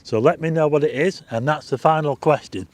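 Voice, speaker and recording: A Northern English man hosting a live stargazing stream outdoors at night, chatting casually, reading out viewer names for a quiz, his voice a little croaky. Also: on the high side, brisk. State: slightly croaky. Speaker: astronomy livestream host.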